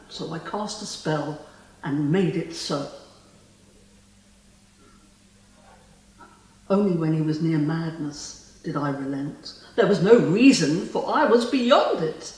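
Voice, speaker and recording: A woman's voice: sits low, expressive, darkish tone. A woman playing a spurned immortal fae, possessive and vengeful, in a theatrical, lyrical stage monologue. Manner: theatrical, lyrical, deliberate delivery. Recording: distant mic in a very echoey room.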